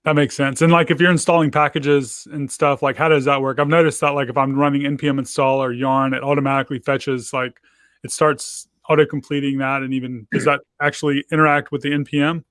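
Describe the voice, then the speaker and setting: Relaxed, chatty male interview host. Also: mid-range voice, fairly quick, no echo, clean studio sound. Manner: relaxed, chatty, many fillers and "like"s. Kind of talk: casual video call interview. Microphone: video call microphone.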